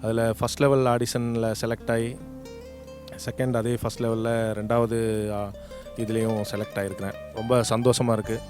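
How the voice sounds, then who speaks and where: A man from Coimbatore with a Tamil Nadu accent introduces himself informally as a singing contestant, speaking in a halting way with pauses. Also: mid-range voice, flat delivery. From Coimbatore, Tamil Nadu, India. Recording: music playing behind the voice.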